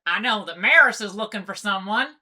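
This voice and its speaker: A woman's voice: medium pitch, expressive, a little fast, pushed and bright. A woman voicing a bossy, teasing pony sea captain with pirate-style speech in a cartoon comic dub. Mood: coaxing, assured.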